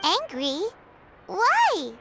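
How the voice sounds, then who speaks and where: Squeaky, extremely high little fairy. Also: female voice, wildly swooping pitch, very slow, creaky, noisy background, full character voice. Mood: puzzled, alarmed. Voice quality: squeaky, childlike. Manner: sassy, exaggerated, incredulous. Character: tiny, cheeky, squeaky childlike fairy companion. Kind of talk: video game voice acting. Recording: loud music behind the voice.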